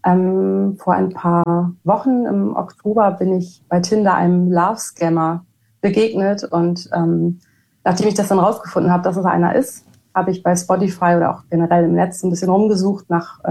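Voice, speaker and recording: A woman guest telling her story in a steady, plain way over a video call. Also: on the low side, creaky, breathy edge. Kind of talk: interview answer.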